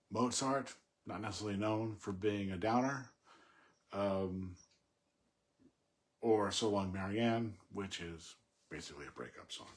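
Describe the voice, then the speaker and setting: A man informally analysing a poem, thinking aloud in a halting, reflective way with pauses between short phrases. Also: lowish voice. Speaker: poetry commentator.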